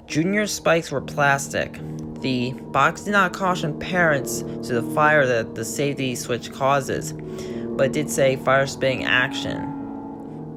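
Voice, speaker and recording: A man hosting his channel who reads a creepypasta aloud word for word, often stumbling and restarting his sentences. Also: mid-range voice. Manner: plain reading with frequent stumbles and restarts. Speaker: channel host and story reader.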